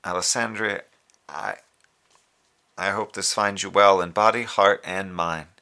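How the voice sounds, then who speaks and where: A man playing a formal, dutiful moonbase communications officer giving a measured, precise report in an audio drama. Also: sits low, fairly even intonation, bright, forceful tone. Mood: tender, longing. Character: formal, dutiful male moonbase radio officer, tender underneath. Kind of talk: audio drama scripted log transmission.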